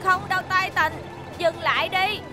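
A woman's voice: very high-pitched, fairly even intonation, normal speed. A woman voicing a friendly, earnest young rescue helicopter robot in a children's cartoon, with a southern Vietnamese accent. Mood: urgent.

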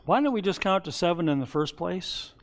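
Older man preaching in a high voice. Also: animated, a little fast. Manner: conversational preaching, acts out quoted voices with rising pitch. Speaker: pastor. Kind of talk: sermon. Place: church sanctuary, seated behind a wooden pulpit.

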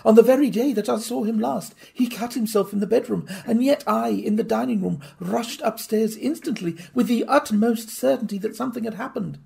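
Anxious Victorian wife, raised pitch, intense and formal, a man reading her with a gritty voice. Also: fairly quick, creaky, hardly any pauses, darkish tone, breathy edge, exaggerated voice. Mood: pleading. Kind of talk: audiobook reading.

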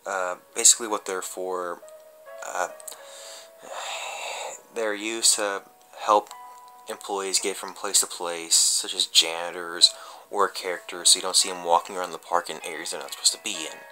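A low-voiced man performs a creepy story as if confessing it to listeners. His delivery is slow and halting, with stumbles and stretched pauses, and his tone is very sharp and bright. Faint music plays behind him. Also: fairly even intonation, rough recording. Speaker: amateur dramatic reader. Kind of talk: dramatic reading of a horror story in a confessional vlog style.

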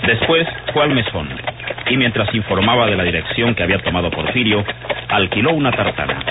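A man's voice: on the low side, lively intonation, a little fast, heavy background noise. A man reading scripted narration for an old radio serial. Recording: tinny old radio broadcast, loud music behind.

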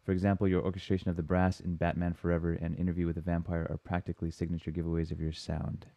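Male host; low, mellow voice, relaxed, dry headset sound on a video call. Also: quick pace, gravelly, dry recording, dull audio. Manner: relaxed, reads audience questions. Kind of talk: moderating questions.

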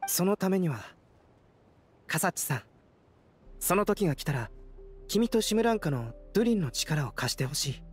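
A calm, analytical young alchemist. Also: male voice, sits high, faint music behind. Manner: gentle, measured explanations. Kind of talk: video game voice acting. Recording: game cutscene audio with soundtrack under it.

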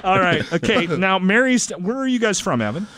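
A man's voice: high-pitched, lively intonation, normal speed, very noisy. A man hosting a radio interview, joking and teasing his guests. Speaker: radio host.

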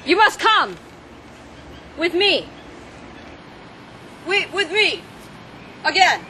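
A bossy, impatient storybook villain, acted by a woman in a homemade comedy. The voice is high and bright, with lively swings of pitch. There is faint noise from outdoor camcorder sound. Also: very slow, full character voice. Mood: commanding. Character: bossy, impatient comic fairy-tale villain kidnapper. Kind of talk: amateur comedy film acting. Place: outdoors in a grassy backyard.

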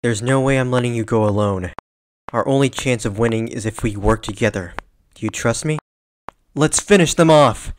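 A man's voice: mid-range voice, normal speed, a little hiss or hum, natural voice. A man voicing a kind, determined young anime hero with earnest conviction. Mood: fired up. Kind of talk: anime voice acting demo.

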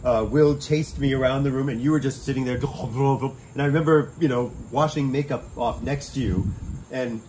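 A man's voice: medium pitch, moderate pace, a little mellow, a little hiss or hum, rough recording. A middle-aged man hosting a casual interview, asking leading questions and affirming his guest, recorded on an outdoor porch at night. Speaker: host, scareactor trainer.

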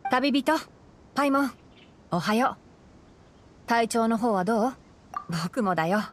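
A woman playing a calm, studious young fox-eared male ranger in a Japanese video game, speaking in a measured, articulate, gently admonishing way. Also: fairly high pitch, a little hiss or hum. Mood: calm, friendly.

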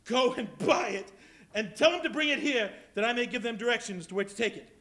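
A man's voice: very high-pitched, lively intonation, a little fast, bright, forceful tone, exaggerated voice. A man playing an elderly miser, overjoyed and laughing, in a live stage reading in a large hall. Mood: gleeful. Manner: giddy, exclaiming, laughing. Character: elderly male miser, joyful and giddy.

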